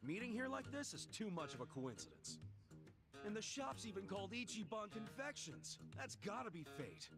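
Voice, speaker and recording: A man's voice: high voice, very animated, moderate pace. A man playing an earnest, big-hearted middle-aged video game hero with an emphatic, informal way of talking. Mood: eager, reassuring. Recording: music behind the voice. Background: guitar music.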